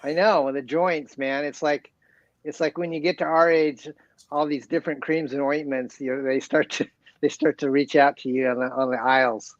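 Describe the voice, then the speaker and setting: A man's voice: fairly high pitch, steady tone, moderate pace, no room sound. A man of about sixty chatting casually as a guest, with a mild, modest, slightly hesitant manner, over lo-fi audio. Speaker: live chat guest. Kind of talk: casual chat.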